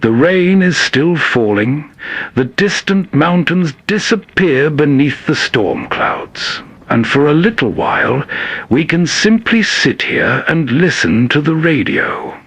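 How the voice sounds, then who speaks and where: A man hosting and narrating in a calm, soothing, scripted bedtime-story style. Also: on the high side, slow pace, husky, dry recording. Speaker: host and narrator.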